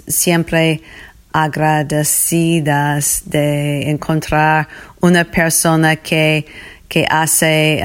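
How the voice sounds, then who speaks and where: A woman with an American accent speaking learner Spanish haltingly, in a personal message to a show she follows. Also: deep voice, clean tone. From United States. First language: English. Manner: halting, searching for words. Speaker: podcast listener sending a voice message.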